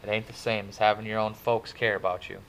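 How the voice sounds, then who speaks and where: A man reading a storybook, voicing a gentle, downcast teenage boy who talks softly in folksy phrases. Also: lowish voice, flat delivery, fast talker, gravelly, slight background noise, rough recording. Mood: sad, resigned. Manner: soft, sighing, folksy. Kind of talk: dramatic audiobook character reading.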